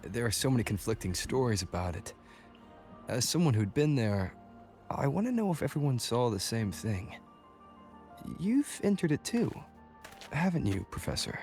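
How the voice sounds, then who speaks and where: A man playing a calm, tactful young adventurer hero in a video game, measured and serious. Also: medium pitch. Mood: calm, probing. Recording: game music behind the voice.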